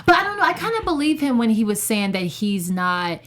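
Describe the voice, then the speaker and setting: A woman's voice: a little high, big pitch swings, a little fast, a bit bright. A woman chatting casually as a panelist on a TV recap show. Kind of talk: casual chat.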